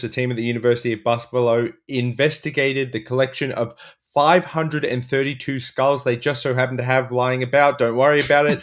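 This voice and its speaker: A man chatting casually as a comedy panel guest, with dry, self-deprecating humour. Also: mid-range voice.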